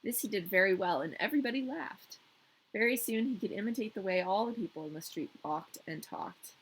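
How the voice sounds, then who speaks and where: A woman's voice: mid-range voice, animated, fairly quick, slightly rough, low-quality recording. A woman reading a fairy tale as a storyteller, informal and playful with her listener. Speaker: storyteller, podcast host. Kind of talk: scripted fairy tale narration with casual asides.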